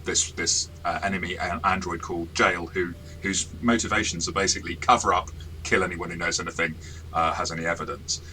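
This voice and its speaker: A man with a very low voice talks casually as game master, reviewing an adventure he ran. His tone is bright and forceful, and he talks in a chatty, self-deprecating way, restarting his sentences often. A faint hiss sits behind him. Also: fairly even intonation, a little fast, poor audio.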